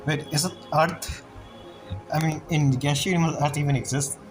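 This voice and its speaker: A man's voice: on the high side, fairly even intonation. Male gamer commentating over game music. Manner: halting, non-fluent phrasing. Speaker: gamer, let's-play commentator. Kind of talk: casual game commentary, reading dialogue aloud.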